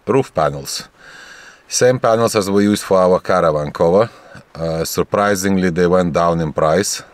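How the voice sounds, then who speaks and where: Slow, deep-voiced man talking in a car. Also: no room sound. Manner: relaxed, hesitant with many fillers. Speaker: homesteader vlogger. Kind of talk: casual vlog update. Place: inside a parked car. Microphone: camera on the dashboard.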